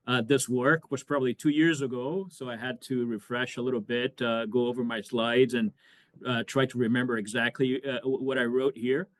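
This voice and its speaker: A man in his forties from Brazil, an economics professor giving an academic lecture over a video call, with frequent fillers and restarts. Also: medium pitch. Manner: hesitant, many fillers and restarts. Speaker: economics professor, guest speaker.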